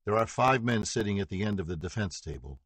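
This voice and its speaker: A man voicing a steady male prosecutor questioning a witness in a measured, businesslike way. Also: lowish voice, breathy edge, dry recording.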